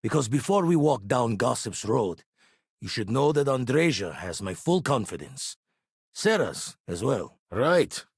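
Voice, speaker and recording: An actor playing a gruff, world-weary former space pirate in a video game, loyal and watchful, speaking in clipped folksy phrases with a husky voice. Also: male voice, medium pitch, sing-song, measured pace, dry recording, studio quality, heavily acted. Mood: steady, weathered. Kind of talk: video game voice acting, dramatic dialogue.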